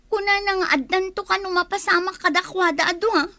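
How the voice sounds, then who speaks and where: High-pitched worried village wife, Ilocano accent, acted by a woman. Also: steady tone, brisk, bright, forceful tone, no room sound. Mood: anxious, questioning. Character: worried, nagging village wife of a drinking husband. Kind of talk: Filipino radio comedy drama acting.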